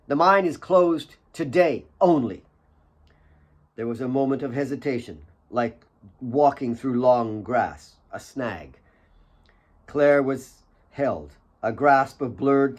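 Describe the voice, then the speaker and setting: A man reading his own novel as a dramatic audiobook narrator, in a measured way with pauses between phrases. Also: medium pitch, a little mellow.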